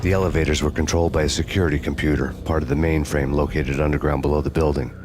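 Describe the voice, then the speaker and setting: World-weary American noir avenger for game narration. Extremely low, monotone, wry, over music. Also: male voice, fast. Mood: grim, brooding. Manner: deadpan, sardonic. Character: vengeful world-weary hard-boiled male detective, bitterly wry.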